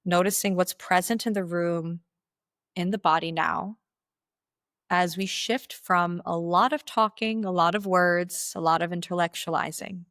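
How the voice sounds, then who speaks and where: A woman with a slightly low voice guides a meditation. She gives instructions slowly and deliberately, leaving pauses between phrases. The sound is dry, with very little room in it. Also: steady tone, a bit bright, dry recording.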